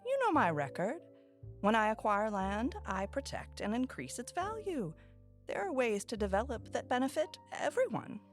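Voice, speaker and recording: A woman's voice: on the high side, sing-song, normal speed, soft background music. A woman acting in an audio drama as a poised, assertive land developer who persuades with polished, theatrical self-assurance. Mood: smooth persuasion.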